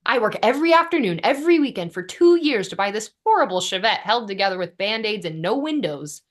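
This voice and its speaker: A woman's voice: mid-range voice, animated, quick pace, natural voice. A woman reading the part of an exasperated, blunt young roommate in a sitcom script, with emphatic, sardonic delivery. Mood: frustrated. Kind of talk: sitcom script table read.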